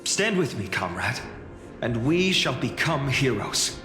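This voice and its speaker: A man's voice: mid-range voice, big pitch swings, unhurried. A man playing an earnest, burdened young warrior hero in a video game, intense and resolute. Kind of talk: English video game voice acting. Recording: echoey hall reverb, clear music behind.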